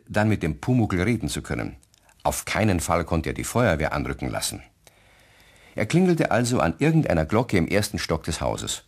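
An actor narrating a children's German radio play as the storyteller, reading scripted prose. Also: male voice, deep voice, heavily acted.

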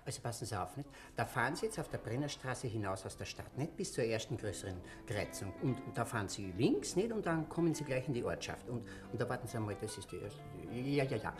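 Actor as an obliging Austrian waiter, lively and fussy, 1950s radio drama with music beneath. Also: male voice, medium pitch, quick pace, few pauses, natural voice. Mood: helpful. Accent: Austrian German. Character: chatty Austrian café waiter, older man.

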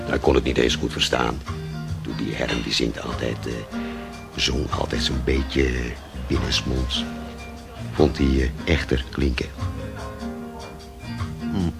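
A man's voice: very deep voice, flat delivery, slow pace, creaky, pauses often, music in the background, heavily acted. An actor playing a talkative, cynical barman in a naturalistic radio drama, speaking in a hesitant, rambling way. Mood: fond, musing. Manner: hesitant, rambling, with self-corrections. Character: talkative café barman, cynical, fond of missing bandmate.

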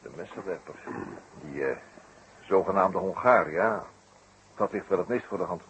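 A man acting the part of a courteous, probing French chief inspector in a Dutch radio drama, speaking in a measured, urbane way. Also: low-pitched, a bit bright. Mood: pensive, firm. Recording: dull, noisy 1960s radio recording.

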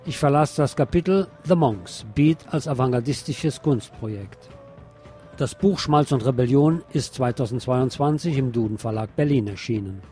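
A man presenting on the radio, reading a nonfiction book chapter aloud in a measured, bookish way. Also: medium pitch, mellow, soft background music.

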